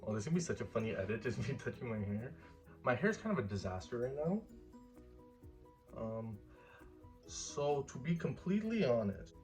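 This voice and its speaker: A man's voice: mid-range voice, sing-song, normal speed, long pauses, a little mellow, soft background music. A man in his early twenties chatting casually in a couple Q&A vlog, easygoing and rambling with fillers. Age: 20s. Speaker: vlogger.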